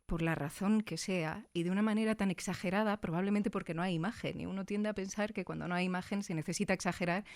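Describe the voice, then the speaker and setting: A woman's voice: lowish voice, steady tone, quick pace, few pauses, a little mellow. A woman interviewing guests in a thoughtful, conversational way, recorded in a studio. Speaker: interviewer and host.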